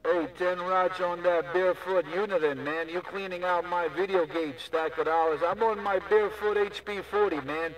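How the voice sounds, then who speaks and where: A man's voice: high-pitched, fairly even intonation, moderate pace, hardly any pauses, a bit bright, far from the mic, low-quality recording. A man chatting casually in CB radio slang, repeating his catchphrases over and over. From Newark, New Jersey, USA. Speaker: CB radio operator.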